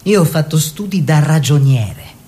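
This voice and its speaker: An actor playing an eager young bourgeois painter in a theatrical monologue, expressive and savouring short phrases. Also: male voice, fairly high pitch, moderate pace, natural voice. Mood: proud, confiding.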